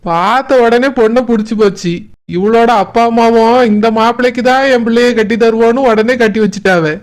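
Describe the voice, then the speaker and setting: A woman's voice: on the high side, brisk, a little mellow, natural voice. A woman playing a boastful, sentimental old grandfather in a comic cartoon, with a Kanyakumari Tamil accent. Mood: boastful, nostalgic.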